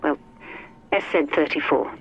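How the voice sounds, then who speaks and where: A woman's voice: sits low, moderate pace. A woman playing an anxious, secretive scientist in a radio drama, urgent and guarded, heard through a telephone filter. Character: anxious, secretive female scientist on a phone call. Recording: muffled phone line, faint music.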